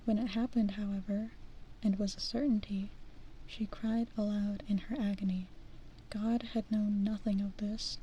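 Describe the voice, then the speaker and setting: A woman from the Midwest, an ASMR streamer, reading aloud in a soft whisper and making self-deprecating asides. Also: mid-range voice, level tone, normal speed, highs cut off, a little hiss or hum. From American Midwest.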